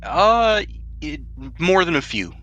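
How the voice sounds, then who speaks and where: A man with a bright, crisp-edged voice talks as the game master of a tabletop game. He explains options casually, with music playing faintly underneath. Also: fairly high pitch, big pitch swings, slow, dull audio.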